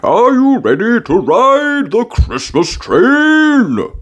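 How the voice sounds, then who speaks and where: A woman's voice: a little high, big pitch swings, slow pace, pitched above the performer's own voice, heavily acted. A woman doing a jolly daddy pig. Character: jolly father pig, upbeat and playful.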